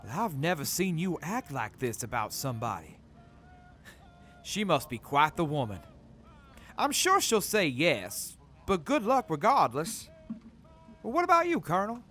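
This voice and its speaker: A friendly young soldier, voiced by a man, cheers his comrades on with sincere warmth. His slightly high voice moves lively up and down. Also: a little slow, pauses often, slight background noise, heavily acted.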